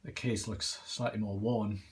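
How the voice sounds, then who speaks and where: A man's voice: fairly low pitch, little pitch movement, unhurried. A man giving a casual show-and-tell in an informal, understated way, recorded on a handheld device in a small room at home. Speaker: antique phonograph collector. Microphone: handheld phone or camera, speaker off screen. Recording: noisy handheld recording with clicks.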